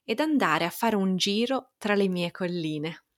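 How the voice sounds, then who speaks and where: An Italian woman reads a personal newsletter aloud as a teacher would, addressing the listener directly in a deliberate, expressive way. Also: medium pitch. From Italy.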